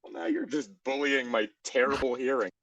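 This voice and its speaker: A podcast co-host bantering casually and comically around a read-aloud story. The delivery is extremely animated, jumping around in pitch, with a teasing, joking manner. The sound is dry with no room to it. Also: a little fast, no room sound, highs cut off. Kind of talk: casual comedic banter while reading fan fiction aloud.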